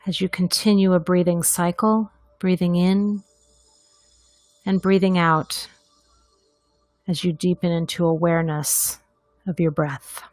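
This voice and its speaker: A woman leading a guided meditation in a gentle, deliberate manner, leaving long pauses between phrases. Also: on the low side, steady tone, no echo, soft background music.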